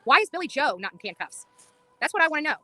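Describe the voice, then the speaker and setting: A woman's voice: high-pitched, big pitch swings, fast talker, bright, forceful tone, no echo, faint music behind. A woman livestreaming an impassioned, insistent monologue outdoors on a street, repeating phrases for emphasis.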